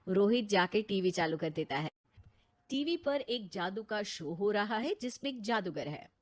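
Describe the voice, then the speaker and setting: A woman's voice: mid-range voice, animated, measured pace. A woman reading scripted narration for a story.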